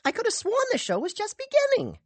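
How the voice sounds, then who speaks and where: A man voicing an excited boy and girl in a squeaky, high, bouncy voice. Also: fairly quick, no room sound, exaggerated voice.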